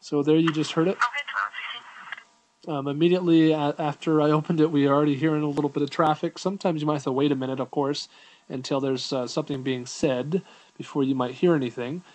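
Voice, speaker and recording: A man's voice: on the high side, fairly even intonation, fast talker, a little mellow, a little hiss or hum, low-quality recording. A man giving a casual, unscripted app walkthrough, explaining things in an informal, easygoing way as a reviewer.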